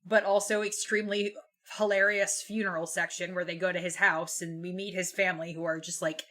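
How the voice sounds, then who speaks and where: Bright-voiced woman hosting casual book chat. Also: lowish voice, flat delivery, fairly quick. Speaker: podcast host.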